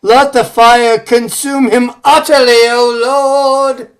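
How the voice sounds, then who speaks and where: Male actor as solemn pious exorcist, high-pitched, declamatory, unhurried, old time radio drama. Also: little pitch movement. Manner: grave, declamatory, exclaiming. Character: solemn pious holy man battling evil.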